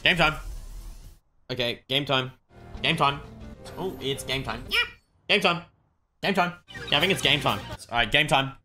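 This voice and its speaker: An excitable video game streamer chatting exclamatorily on a livestream. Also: mid-range voice, moderate pace, pauses often, soft background music.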